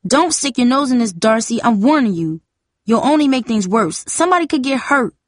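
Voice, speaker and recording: A woman's voice: mid-range voice, very animated, a little fast, slightly rough, no echo, studio quality. A woman voicing a defiant, defensive teenage girl with a heated, slangy way of talking, in a story reading. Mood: defensive, warning. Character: defiant, defensive younger sister, a school cheerleader.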